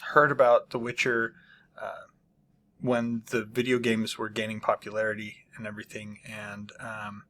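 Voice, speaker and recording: A man hosting his own channel, casually reviewing a book with many pauses, ums and frequent throat clearing. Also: on the low side, completely flat delivery, a bit bright. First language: not Polish.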